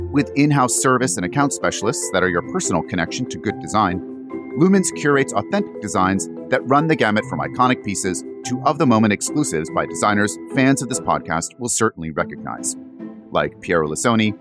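A man hosting a podcast, moving between interviews and scripted narration in a polished, wryly phrased way. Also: on the low side. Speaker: podcast host.

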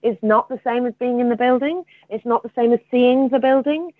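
A woman's voice: fairly high pitch, a little fast, no room sound. A woman being interviewed as a theatre artistic director, speaking in an enthusiastic, reflective way over a phone-like line. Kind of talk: interview conversation. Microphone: remote phone or call.

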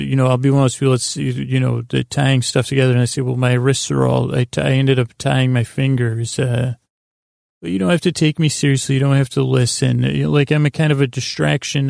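A man with a creaky, gravelly voice hosting a bedtime podcast, rambling in a halting, meandering way with stammered tangents and pauses to lull listeners to sleep. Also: mid-range voice, a little mellow, no room sound, studio quality.

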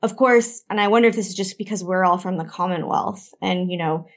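Gravelly Canadian woman hosting a podcast. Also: lowish voice, brisk. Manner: chatty, runs into long tangents. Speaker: podcast host. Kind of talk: casual podcast discussion.

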